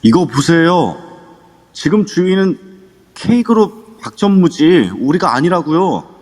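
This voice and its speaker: A man's voice: a little high, sing-song, slow, a little mellow, heavily acted. A man playing an irritated, protesting guest in an amateur audio drama. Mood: indignant. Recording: long reverb throughout.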